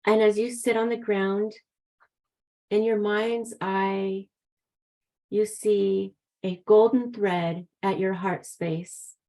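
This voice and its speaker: Woman with a mellow voice, very slow and soothing, leading a grounding meditation. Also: medium pitch, fairly even intonation. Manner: soft, soothing, unhurried. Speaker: meditation guide. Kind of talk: guided meditation.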